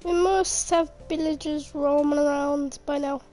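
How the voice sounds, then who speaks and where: Sick croaky girl chatting slow over her game, high voice, faint music behind. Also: steady tone, mellow. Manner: chatty, muttering to herself, mock-frustrated. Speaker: gaming youtuber. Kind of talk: casual gameplay commentary.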